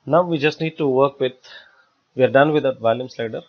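A man narrating a step-by-step software tutorial in a halting, explanatory way. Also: mid-range voice. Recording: dull lo-fi voice-over recording.

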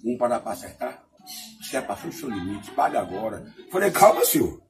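A man with a Brazilian accent telling a comic anecdote in casual chat, excitable and repetitive, acting out sound effects and dialogue. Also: medium pitch, fairly quick, faint music behind. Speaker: comic storyteller.

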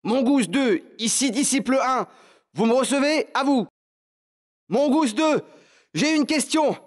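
Impatient male soldier, raised very high voice, forceful, clipped radio calls, war game. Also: animated, a little slow. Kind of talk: military radio chatter, game voice acting.

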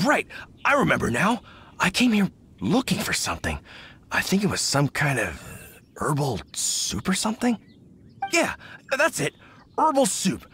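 A man's voice: high-pitched, a bit bright, husky, exaggerated voice. An actor playing a tipsy, sheepish, doting middle-aged hunter father in a video game, rambling and hesitant.

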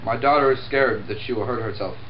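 A young man reading his parts flatly for a home puppet show of a classic play. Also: mid-range voice, a little fast, muffled recording, slight background noise. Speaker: amateur sock-puppet performer.

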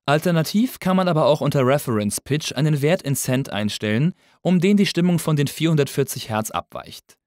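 Lively, dry-sounding male tutorial narrator. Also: a little high, brisk, few pauses, no echo. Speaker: instructor.

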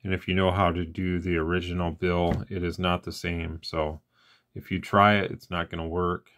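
Low-voiced man, casual demo talk. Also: flat delivery, normal speed, a bit bright. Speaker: radio review host. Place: indoors at an equipment bench.

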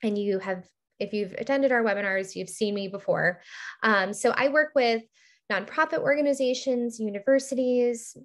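A woman hosting and moderating an online webinar, upbeat and organized with occasional ums, over a computer mic. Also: medium pitch. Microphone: computer mic on a video call.

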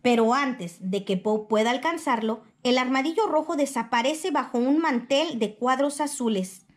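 A woman reading a children's picture book aloud in expressive storybook narration. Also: sits high, normal speed.

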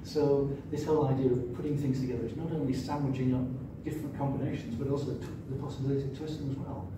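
Older interviewer, echoey and distant. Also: male voice, medium pitch, quick pace. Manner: relaxed, hedging with "sort of" and "um". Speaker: interviewer, publisher. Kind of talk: stage interview. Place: large room at a museum event. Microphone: far room mic. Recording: echoey hall from far off, noisy.

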